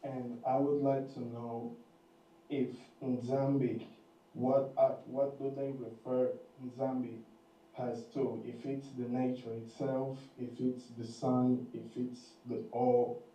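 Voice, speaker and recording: A man whose first language is Portuguese puts a careful question, working hard to find each word. His voice is very dark and mellow and even in pitch, and he comes through from a distance, echoey, over faint background noise. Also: slow, muffled recording. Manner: careful, effortful phrasing in a second language. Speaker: caller asking a question.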